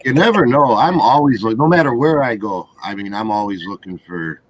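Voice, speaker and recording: A man with a Wisconsin accent telling casual anecdotes in a relaxed group chat. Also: mid-range voice, fairly quick. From Wisconsin, United States. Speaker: co-host of a live chat show. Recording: muffled lo-fi, faint background noise.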